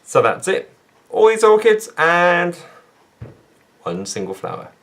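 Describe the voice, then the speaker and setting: A man's voice: sits high, huge pitch swings, slow pace, vocal fry, fairly bright tone. A young man with an English accent, from England, chatting casually and poking fun at himself in a plant vlog. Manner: self-mocking, dry humor. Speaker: plant vlogger.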